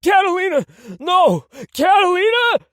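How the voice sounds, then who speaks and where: A man's voice: extremely high pitch, sing-song, unhurried, no room sound, studio quality, voice pushed up, full character voice. A man voicing a trapped, furious man who screams curses in panic. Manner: screaming, profane.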